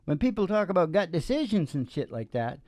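A man's voice: high voice, very animated, a little fast, darkish tone, no echo. An older man hosting a music show, chatting casually and in a rambling, opinionated way with crude humor, close on a microphone. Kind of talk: rambling casual chat between songs. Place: small dim curtained room. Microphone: close vocal microphone on a stand. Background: acoustic guitar.

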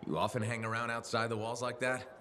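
An actor playing a guarded, skeptical mercenary for hire in a video game, with a casual, dry delivery. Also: male voice, medium pitch, level tone, fast talker, bright, forceful tone, a little hiss or hum, natural voice. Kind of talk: video game cutscene acting.

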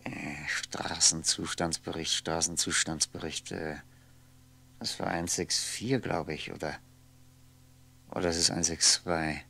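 An actor playing a lonely man who mutters to himself in a wry, halting way in a 1960s German radio play. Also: a bass voice, fairly even intonation, creaky, bright, forceful tone, slight background noise, exaggerated voice. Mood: musing, hesitant.

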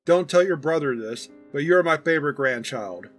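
A man's voice: on the high side, expressive, moderate pace. Guy doing proud old grandpa, chatty n folksy, teases with love, storybook style reading, bit of music behind. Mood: proud, fond. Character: affectionate, proud, teasing old grandfather, an uncanny entity.

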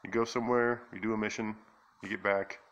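A man's voice: on the low side, fairly even intonation, fairly quick, lo-fi sound. A man giving casual gameplay commentary, thinking aloud in an offhand way. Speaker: gamer, channel host. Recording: clearly noisy game audio, clicks.

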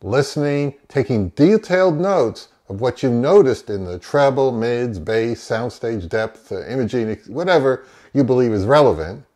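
A man in his fifties hosts an audio review vlog with a mid-pitched voice and very animated intonation. He talks straight to camera in an opinionated, emphatic manner, with a wry edge. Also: moderate pace, few pauses. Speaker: audio review channel host. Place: small home office, indoors.

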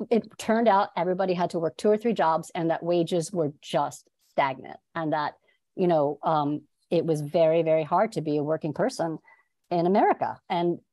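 Low-pitched middle-aged woman, dry sound. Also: a little mellow, no room sound. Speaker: interview guest, documentary filmmaker. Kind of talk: conversational interview, explaining. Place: plain room at home, indoors. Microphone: wired earbuds on video call.

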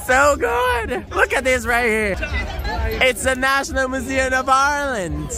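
Hoarse, excitable young man vlogging. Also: very high-pitched, unhurried, over music, a crowd in the background. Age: young adult. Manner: gushing, excitable. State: hoarse after a concert. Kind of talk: casual vlog talk to camera. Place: city streets at night, outdoors. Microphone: phone held at arm's length.

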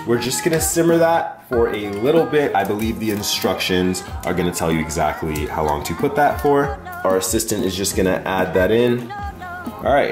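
A young man talks straight to his viewers in an upbeat, chatty way while cooking at home. His voice bounces around a roomy kitchen, and clear background music runs beneath it. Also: deep voice. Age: young adult. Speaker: fitness vlogger, host. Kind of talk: casual cooking demo to camera.

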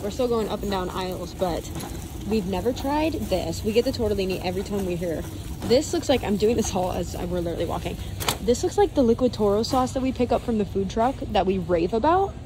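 A woman's voice: medium pitch, expressive, a little fast, noisy background. A woman in her twenties chatting casually on a handheld phone vlog, upbeat and full of "like" and "literally". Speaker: vlogger. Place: large warehouse store, indoors. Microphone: phone camera held at arm's length.

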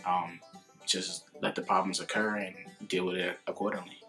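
Young man with a low, bright, gravelly voice. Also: little pitch movement, moderate pace, faint music behind, poor audio. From the Bronx, New York. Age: young adult. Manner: matter-of-fact, a few restarts. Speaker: resident assistant, student. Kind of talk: casual interview answers. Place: indoors before a plain grey backdrop.

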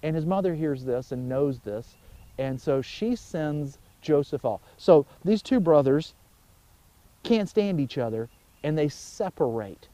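A man in his forties, a pastor giving an informal, conversational sermon outdoors, telling stories with emphatic pauses. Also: fairly high pitch, animated, mellow, no echo. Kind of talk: informal sermon, explaining scripture. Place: outdoors by a wooded pond.

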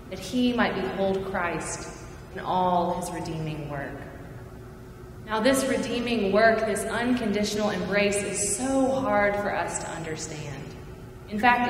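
A middle-aged woman preaching a sermon from a church pulpit, earnest and measured, her phrases falling at the ends. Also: mid-range voice, slight background noise. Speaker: pastor. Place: large church sanctuary. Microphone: pulpit mic, far camera. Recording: echoey church from far off.

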